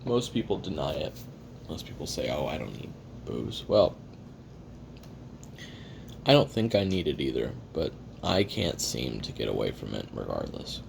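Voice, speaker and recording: A man plays a hard-drinking, jaded male assassin taping his memoirs for an audio roleplay. His voice is low, with a gravelly fry, and he rambles wryly, cursing and putting himself down. Clear hiss and rumble sit under the recording. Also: big pitch swings, moderate pace, long pauses, full character voice. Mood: self-deprecating, weary. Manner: profane, wry, rambling, self-deprecating. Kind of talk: confessional audio roleplay monologue.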